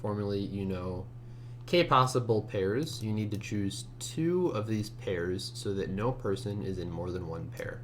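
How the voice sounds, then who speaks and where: A man with a low morning voice, muttering to himself as he gives casual think-aloud commentary while coding, often trailing off mid-thought. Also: unhurried. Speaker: competitive programmer narrating a screencast. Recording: steady hum and keyboard typing noise. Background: computer keyboard typing, hum.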